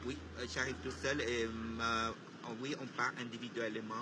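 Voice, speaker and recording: A man's voice: medium pitch, little pitch movement, moderate pace. An older man from Vietnam with a Vietnamese accent, answering interview questions hesitantly with many restarts and fillers, amused at times. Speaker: artist being interviewed. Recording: loud traffic noise outdoors.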